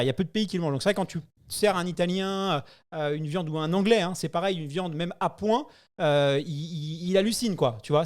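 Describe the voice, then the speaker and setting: A French man talks fast in a loose, joking way, piling up restarts before landing his point. His voice sits a little high for a man. He sounds like a co-host riffing with friends. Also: darkish tone. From France. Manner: quick, joking, trails off and restarts. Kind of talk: casual chat.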